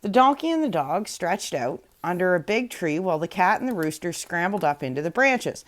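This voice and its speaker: A woman storyteller narrating a fairy tale aloud in an expressive read-aloud style. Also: fairly low pitch, normal speed.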